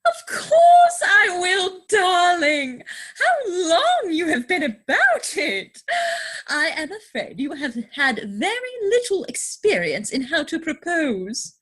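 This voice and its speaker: A woman's voice: very high-pitched, wildly swooping pitch, a bit bright, full character voice. A woman playing a romantic, willful upper-class young lady in a theatrical period comedy, with an affected, gushing manner. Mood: adoring, rapturous. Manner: affected, gushing, grandly drawn-out delivery.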